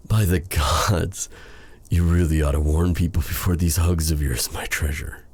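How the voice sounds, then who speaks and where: A man playing a brooding, tender dark sorcerer in a romantic fantasy audio roleplay, speaking in a halting, intimate way with formal old-fashioned wording and a husky voice. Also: extremely low pitch, fairly even intonation, heavily acted. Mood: grave, resigned.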